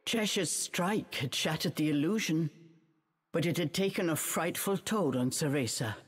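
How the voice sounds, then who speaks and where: A woman playing a storybook narrator in a video game, measured and dramatic. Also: low-pitched, breathy edge, big-room sound.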